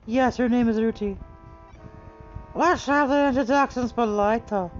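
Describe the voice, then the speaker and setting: A man's voice: very high-pitched, unhurried. A man voicing a helpful young woman adventurer who takes charge in an earnest, instructing way. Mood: polite. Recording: muffled, faint music behind.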